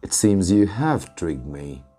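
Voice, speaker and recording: A gracious princess, read by a man in a low voice, speaks slowly and formally. She is gentle and forgiving, in a storybook. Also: sing-song, faint music behind, heavily acted.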